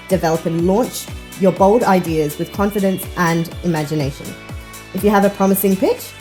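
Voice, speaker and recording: A woman hosting a startup show, reading a measured scripted intro and sponsor lines, then asking interview questions. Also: on the low side, a little mellow. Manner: measured, reads sponsor copy. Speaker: podcast host.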